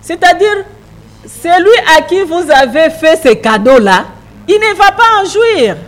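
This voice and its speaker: A woman's voice: very high-pitched, expressive, measured pace. A woman, not a native French speaker, giving earnest, emphatic remarks as a panel speaker, with hesitant restarts. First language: a Congolese language. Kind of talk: public debate remarks.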